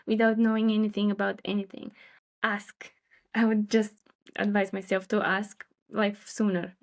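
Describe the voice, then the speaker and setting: A young woman, a freelance designer talking thoughtfully as an interview guest, with many fillers and pauses. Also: a little high. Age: young adult. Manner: thoughtful, hesitant with fillers and light laughs. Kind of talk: casual interview chat.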